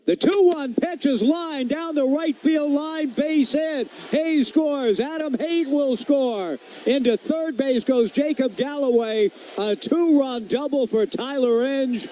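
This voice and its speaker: A man's voice: very high voice, slow, hardly any pauses, dark tone, a little hiss or hum. A man doing live radio play-by-play of a baseball game in clipped, descriptive phrases. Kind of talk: live radio sports commentary.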